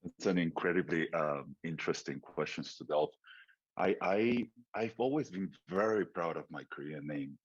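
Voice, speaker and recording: Argentine guy moderating, super low husky voice, reflective, dry muffled audio. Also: normal speed, no echo. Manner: reflective, thinking out loud. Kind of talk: panel conversation.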